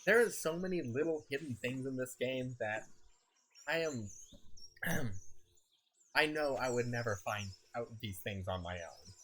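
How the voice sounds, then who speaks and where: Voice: mid-range voice, animated, unhurried, pauses often, a bit bright. A chatty streamer rambles through a play session and laughs easily. The voice has a scratchy edge from a slightly sick throat. There is a faint hiss behind it. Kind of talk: casual gameplay chat.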